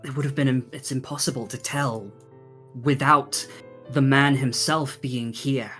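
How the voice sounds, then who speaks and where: A man running a tabletop game casually, with halting, deliberate phrasing. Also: mid-range voice, fairly even intonation, bright, forceful tone. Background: faint background music.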